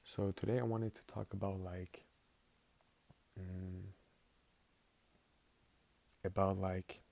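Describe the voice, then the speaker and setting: A low, gravelly-voiced young man of eighteen, talking loosely to the camera like he's journaling out loud. His tone is dark and mellow, and he drifts along with plenty of "like" and "um" in English, which isn't his first language. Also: flat delivery, slow pace. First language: not English. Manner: rambling, filler-heavy, laid-back. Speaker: vlogger. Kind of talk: casual spoken journaling monologue.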